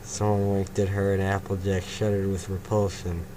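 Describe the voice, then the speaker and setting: A man's voice: low voice, level tone, moderate pace. A young man narrating an amateur fanfiction reading, stumbling over words, swearing and chatting casually between passages. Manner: stumbling, swearing, laughs at own slips. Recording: clear background noise.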